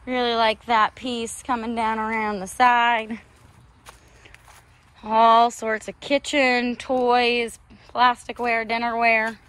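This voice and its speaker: High-voiced woman giving upbeat sales patter. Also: level tone, slow pace, a bit bright, clear, resonant tone. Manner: upbeat, folksy sales patter listing items. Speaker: auction house host presenting a sale preview. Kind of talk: casual promotional walkthrough commentary. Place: outdoors in an open auction yard.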